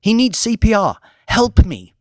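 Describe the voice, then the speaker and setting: A man voicing a frantic high-pitched maid. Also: big pitch swings, measured pace, no room sound, clean studio sound. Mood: panicked. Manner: high, urgent shouting. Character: panicked maid, woman.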